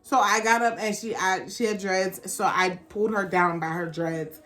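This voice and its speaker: A woman with a mid-pitched voice tells a personal story as a vlogger. She talks casually and candidly, in loose slang. She slips into animated re-enactments of what people said. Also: normal speed.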